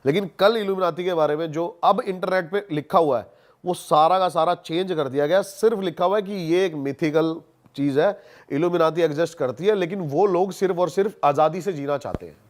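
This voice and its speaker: A man's voice: fairly high pitch, fairly quick. A man in casual podcast conversation, telling stories and explaining things emphatically, repeating words for stress. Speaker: podcast guest.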